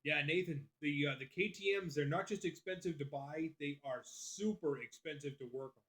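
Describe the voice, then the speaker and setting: A man hosts a casual live stream, answering his viewers one by one in a loose, profane way. His voice sits a bit high for a man. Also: moderate pace, a bit bright. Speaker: livestream host.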